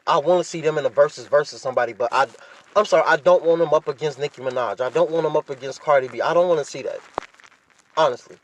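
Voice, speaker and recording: An American man talks in a slightly high-pitched voice as a podcast host on his own. He chats informally, offering opinions straight to his listeners. His wording is slangy and freely peppered with swearing. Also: fast talker. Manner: informal slangy talk with frequent profanity. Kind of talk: casual solo chat with opinions, addressing listeners.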